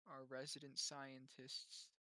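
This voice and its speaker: A man's voice: mid-range voice, completely flat delivery, takes it slowly, pushed and bright, no echo. A man chatting casually as a player in a tabletop roleplaying game.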